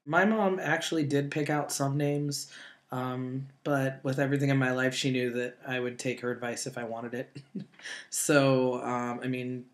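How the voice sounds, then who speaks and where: A man in his late twenties speaks in a relaxed, easygoing way. He co-hosts a casual chat at a middle pitch and often stops on fillers like "um" and "you know.". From northeastern Ohio. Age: 20s. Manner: relaxed, many fillers and pauses. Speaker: co-host.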